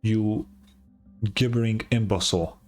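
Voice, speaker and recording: Man acting a haughty, impatient low-voiced schemer. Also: steady tone, a little slow, close to an everyday voice. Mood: scornful, impatient. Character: haughty, impatient, insulting treasure seeker.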